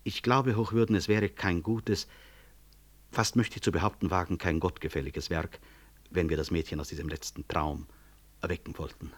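A deep-voiced, dignified medical professor. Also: male voice, fairly even intonation. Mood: grave, careful. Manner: formal, measured, precise. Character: dignified male medical professor, courteous but unyielding, gently ironic. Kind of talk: 1960s German radio drama acting.

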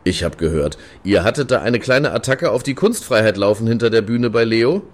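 An actor playing a flirtatious, cheeky club hedonist in a radio play, teasing and declamatory. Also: male voice, lowish voice, expressive, a little fast, lightly acted. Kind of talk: German radio play acting.

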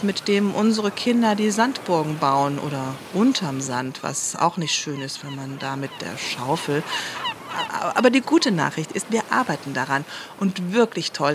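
A woman acting as a glib, bureaucratic spokeswoman in a satirical radio play. She explains in a lowish voice with officious jargon, over faint background ambience. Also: lively intonation, moderate pace, noisy background. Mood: upbeat explaining. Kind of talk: mock-official interview statement in satirical drama.

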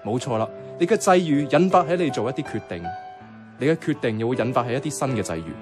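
An actor playing an eager young friend who philosophises in a Cantonese radio drama, playfully earnest, with a Hong Kong accent. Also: male voice, mid-range voice, animated, fast, close to an everyday voice. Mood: earnest explaining. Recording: music clearly behind the voice. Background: music.

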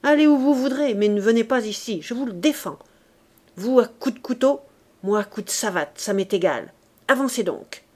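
A woman voicing a fierce, defiant young woman in rags who taunts and shouts down a gang of men. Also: on the high side, expressive, normal speed.

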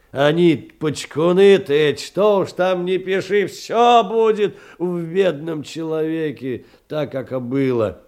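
An actor as a bitter, low-voiced poor clerk. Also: male voice, close to an everyday voice. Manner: halting, colloquial, repeating himself with rhetorical questions. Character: poor, wounded, indignant, rambling male minor clerk. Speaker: stage actor. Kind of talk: theatrical audio play monologue.

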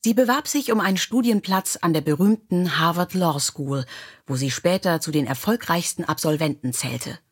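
A woman's voice: lowish voice, normal speed, breathy edge. A woman reading scripted biographical stories as an audiobook narrator.

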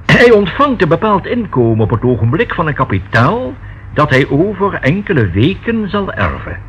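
A man's voice: on the high side, huge pitch swings, fairly bright tone, full character voice. A man acting a discreet, proper notary in a Dutch radio play, speaking formally and choosing precise words. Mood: patient, explanatory. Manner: formal, measured, precisely worded. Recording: dull, faintly noisy old radio recording.

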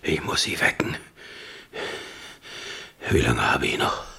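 A stubborn old king near death in a radio play. His voice is extremely low and badly husky, full of creak, and he gives bitter orders in labored, halting phrases. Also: expressive, sharp tone, exaggerated voice. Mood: despairing. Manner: halting, commanding. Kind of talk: German radio play acting.

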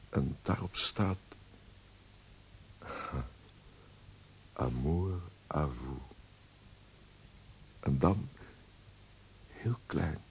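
An actor playing a roguish, self-doubting poet in a radio play, playful and smitten, with a hesitant way of speaking. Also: male voice, rumbling low, creaky, exaggerated voice. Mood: moved, wondering. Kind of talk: Dutch radio play acting. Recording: noisy old radio play recording.

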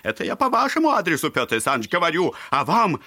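A man's voice: a little high, wildly swooping pitch, fast talker, sharp tone, heavily acted. An actor playing a garrulous, clownish old father in an audio drama, fawning and theatrical, rambling on with mock politeness. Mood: fawning, needling.

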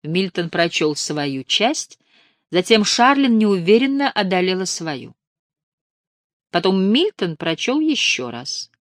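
A woman narrating an audiobook with a measured, expressive reading on a close studio mic. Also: mid-range voice, no echo.

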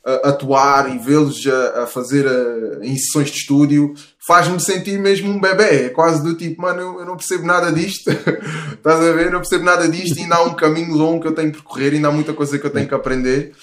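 A man's voice: a little high, steady tone, fast talker, a bit bright, reverberant. A thirty-year-old man with a European Portuguese accent, a musician talking casually and at length in an interview, with many restarts and fillers. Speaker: musician guest.

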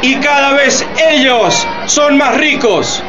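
An actor playing an impassioned president making a declamatory political speech in a radio play, with rhetorical repetition. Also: male voice, extremely high pitch, slow, highs cut off. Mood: indignant, fiery. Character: impassioned president orating to the hungry nation. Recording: crowd noise and music behind speech.

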